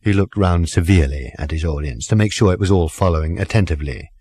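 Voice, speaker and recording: A man reading comic fiction as a measured, expressive audiobook narrator. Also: low voice, husky, no echo. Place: dry studio.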